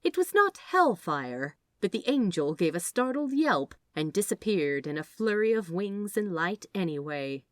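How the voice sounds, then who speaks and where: A woman's voice: mid-range voice, big pitch swings, a little slow, few pauses, no echo, studio quality. A woman reading fiction aloud as a narrator in a scripted storytelling style.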